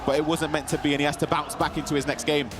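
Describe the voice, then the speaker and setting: A man co-commentating an esports football match with energetic, exclaiming delivery. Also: fairly high pitch, rapid-fire, music in the background. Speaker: esports co-caster. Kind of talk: sports commentary.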